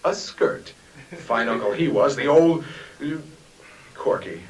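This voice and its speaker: A man's voice: mid-range voice, lively intonation, takes it slowly. A man playing a grandiose, hapless uncle with pompous theatrical delivery in a 1950s radio sitcom. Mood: indignant. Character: grandiose, hapless uncle dreaming of sudden riches. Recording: noisy, echoey old radio transcription, distant mic.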